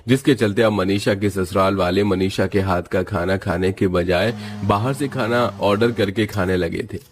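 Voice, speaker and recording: A man narrating a scripted moral story as its storyteller. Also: fairly low pitch.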